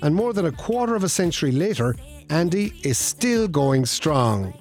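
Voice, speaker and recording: An actor playing the wry narrator of a comedy radio play, reading scripted narration. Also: male voice, fairly high pitch, big pitch swings, moderate pace, a little mellow. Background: music.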